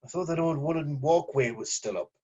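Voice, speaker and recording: A man playing a cautious, businesslike assassin in his late thirties at an amateur table read, clipped, deadpan and suspicious. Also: on the high side, brisk, husky. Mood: puzzled. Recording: dull lo-fi voice recording.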